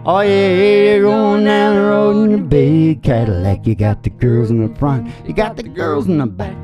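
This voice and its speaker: A woman's voice: lowish voice, expressive, normal speed. A woman hosting and singing on a country music show, with a playful, loose manner and a guitar alongside. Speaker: radio show host and singer.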